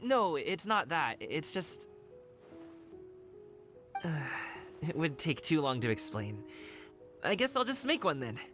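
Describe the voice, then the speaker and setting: A kind young game dude, casual n hesitant, pitch moves a lot, music behind. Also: male voice, very animated, slow, long pauses. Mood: reluctant, hesitant. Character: easygoing, kind young man, a little reluctant. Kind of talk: video game cutscene acting.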